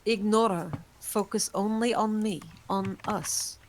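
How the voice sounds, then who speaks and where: A woman's voice: mid-range voice, fairly even intonation, slow pace, slight background noise, natural voice, poor audio. A woman voicing a seductive female vampire who coaxes her progeny, in an online script table read.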